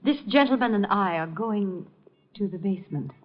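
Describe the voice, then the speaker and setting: A woman's voice: medium pitch, lively intonation, moderate pace, natural voice. An actress playing an icy, imperious, mocking woman in a 1950 radio drama, with a cold, clipped, commanding delivery. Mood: cool, commanding. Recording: dull old radio recording, faint music.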